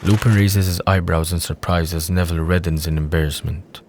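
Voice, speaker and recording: Deep-voiced male audio drama narrator. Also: little pitch movement, a little fast, a little mellow, slight background noise.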